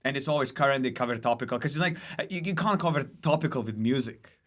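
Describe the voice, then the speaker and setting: A man's voice: mid-range voice, fast, a bit bright. A man with a Lithuanian accent, a stand-up comedian chatting casually as a podcast guest, often restarting and repeating his phrases.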